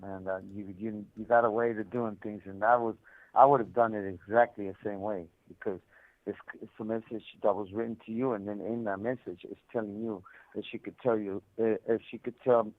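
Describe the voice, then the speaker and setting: Tinny phone-line man, low voice. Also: no room sound. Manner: conversational, repetitive, peppered with "man" and "you know". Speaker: caller, prison inmate telling his story. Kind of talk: casual, unscripted personal storytelling. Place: prison phone call. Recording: tinny, band-limited prison phone line.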